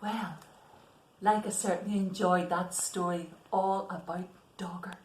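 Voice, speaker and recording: A woman reading a picture book to young children as a storyteller, deliberate and expressive, often hushed for effect. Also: lowish voice, a little hiss or hum. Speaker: library storyteller. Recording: roomy, echoing sound.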